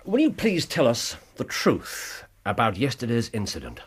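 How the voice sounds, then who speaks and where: An actor playing a keen, playful, commanding Victorian English gentleman detective in a radio drama, speaking with an English accent in a brisk, wry, theatrical way. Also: medium pitch, wildly swooping pitch, background noise.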